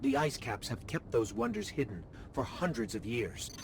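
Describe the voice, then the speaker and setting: A calm guide in a sci-fi game. He speaks in a measured, expository way with a lively pitch. Ambient game noise sits clearly behind him.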